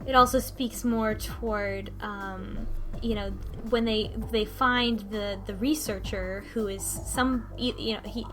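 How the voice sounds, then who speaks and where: A woman talking casually in a group discussion, recapping a game in a halting way and often restarting mid-thought. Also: medium pitch, steady tone, fairly bright tone, faint music behind. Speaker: podcast host recapping a visual novel.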